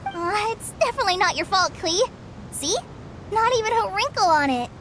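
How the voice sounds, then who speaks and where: A woman playing a shy, anxious, polite young girl in a video game cutscene, gentle and hesitant. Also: very high-pitched, animated, normal speed, slight background noise. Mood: relieved, reassuring. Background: background music.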